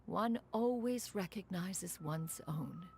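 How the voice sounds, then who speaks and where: An actress playing a proud, aloof immortal crane mentor in a video game, speaking formally and archaically and calling herself "one". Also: female voice, lowish voice, expressive, takes it slowly, vocal fry, a little mellow, a little hiss or hum, heavily acted. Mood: tender, stately. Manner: formal, archaic speech referring to self as one. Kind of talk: scripted video game story dialogue.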